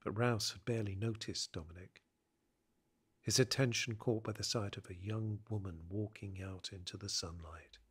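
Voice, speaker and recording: A man narrating an audio drama in a literary, measured, suspenseful way. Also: on the low side, steady tone, a bit bright, slightly rough. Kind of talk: dramatic scripted story narration.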